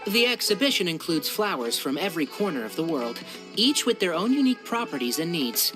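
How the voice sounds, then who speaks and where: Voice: medium pitch, sing-song, brisk, music in the background, natural voice, rough recording. An actor's game voice for a knowledgeable, busy young male plant expert, articulate and explanatory. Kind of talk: video game voice acting.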